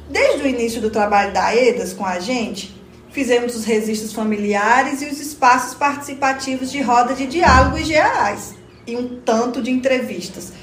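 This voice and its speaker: A woman's voice: on the high side, natural voice. A Brazilian woman playing a curious, doubting community member who asks questions colloquially in a radio drama. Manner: colloquial, inquisitive. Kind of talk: scripted educational radio drama dialogue. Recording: echoey room, faint noise.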